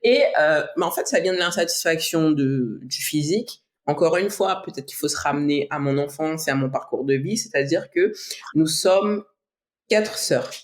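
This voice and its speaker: A woman's voice: low voice, expressive, moderate pace. A woman from French Guiana, a bodybuilding athlete, talking as a guest in a casual podcast interview, reflective, with many fillers and restarts. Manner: reflective, frequent restarts and fillers, laughs easily.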